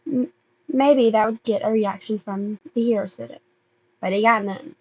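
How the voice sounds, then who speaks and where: A woman reading fan fiction aloud as an amateur narrator, halting and often stumbling over and repeating words. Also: medium pitch, a little mellow, highs cut off. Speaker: amateur podfic reader.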